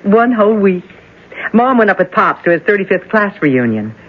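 A woman's voice: mid-range voice, very animated, normal speed, husky. An actress playing an innocent, cheerful young woman who giggles often, in an old radio drama. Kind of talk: 1970s radio drama acting. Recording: dull, muffled old radio broadcast.

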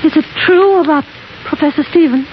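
An actress playing an anxious, insistent young fiancée, urgent and questioning, in a 1950s radio drama. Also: female voice, high-pitched, brisk, close to an everyday voice. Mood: pressing. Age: young adult. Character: insistent, anxious fiancée in her early twenties. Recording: dull, very noisy 1950s radio recording.

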